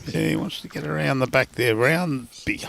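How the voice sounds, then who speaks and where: Gravelly Australian man commentating bowls. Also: on the high side, normal speed, fairly bright tone, a little hiss or hum. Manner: chatty, laughs often, many fillers. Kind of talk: relaxed live sports commentary. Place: outdoors at a bowls green.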